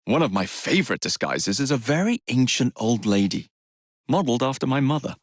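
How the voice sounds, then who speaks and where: Cocky English rogue, animated and lively. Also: male voice, medium pitch, a little fast, dry recording, studio quality. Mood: cheeky, playful. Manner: cheeky, wry, theatrical. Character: cocky, roguish young Victorian gang leader. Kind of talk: video game voice lines.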